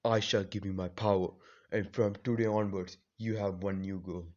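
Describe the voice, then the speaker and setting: Man putting on solemn ancient spirit, low slow voice, grave proclamation, dull lo-fi sound. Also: fairly even intonation, darkish tone, close to an everyday voice. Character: ancient male spirit granting power, solemn.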